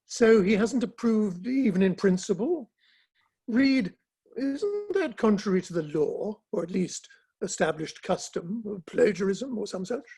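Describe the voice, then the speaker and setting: A man takes the part of a sceptical Victorian gentleman in a play reading. The voice has a husky, unpolished edge. He is polite and probing, with a cautious temper. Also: high voice, lively intonation, unhurried, full character voice. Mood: sceptical, cautioning.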